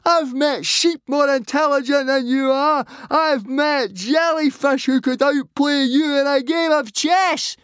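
A man voicing a scornful young Viking boy in a children's audiobook, loud, sarcastic and insulting. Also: very high-pitched, a little slow, hardly any pauses, no echo, clean studio sound, pitched above the performer's own voice. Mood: outraged. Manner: screaming, sarcastic.

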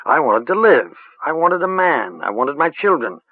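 Old country witch, voiced by a man: rustic, chanting, high and lilting; dry, tinny line. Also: sing-song, normal speed, husky, no room sound, full character voice. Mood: musing. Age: older. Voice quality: rustic dialect, sing-song and chanting. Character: old female village witch, rustic and earthy.

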